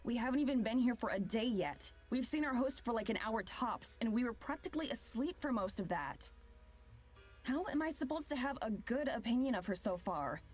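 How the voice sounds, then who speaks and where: Skeptical young female traveler, highish voice, exasperated and sarcastic, video game dialogue with light music behind. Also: expressive, a little fast, husky. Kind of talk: visual novel voice acting.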